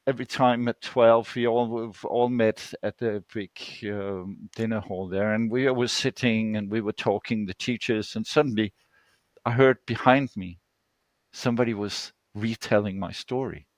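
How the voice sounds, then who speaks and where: An older man from Denmark with a Danish accent, telling personal stories in conversation and pausing to search for words on an online call. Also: on the low side, steady tone, dry recording. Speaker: guest storyteller.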